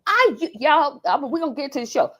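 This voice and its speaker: A woman's voice: high-pitched, very animated, fast talker. A woman in her forties hosting a podcast interview, talking in a playful, self-teasing way. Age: 40s. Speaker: podcast host. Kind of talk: casual interview chat.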